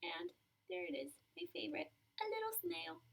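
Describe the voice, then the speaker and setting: A woman's voice: very high voice, very animated, normal speed, gravelly. A woman reading a children's story aloud expressively, talking directly to young listeners with explanations and questions. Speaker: storybook reader.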